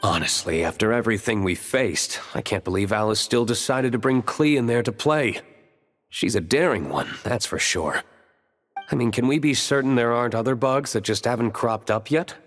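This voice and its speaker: A man voicing a measured, wry gentleman in an anime game, mildly skeptical and generous. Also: medium pitch, expressive, faint music behind, close to an everyday voice.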